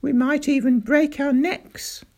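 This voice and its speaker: A woman voicing a small elderly country doctor, excitable and easily outraged, in a storybook reading. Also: fairly high pitch, measured pace, creaky, husky, exaggerated voice. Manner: excitable, grave then outraged.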